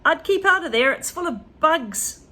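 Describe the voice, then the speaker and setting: A woman's voice: high-pitched, animated, unhurried, sharp tone, pitched above the performer's own voice, full character voice. A woman voicing an anxious young boy who pleads and frets. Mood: pleading. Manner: pleading, fretful.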